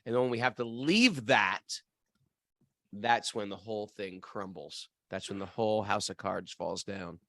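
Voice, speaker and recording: A man's voice: on the low side, unhurried, sharp tone, no room sound. Musing man in a dry room. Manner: musing, thinks aloud with restarts. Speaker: podcast co-host. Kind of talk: casual spiritual discussion. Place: home area in New England.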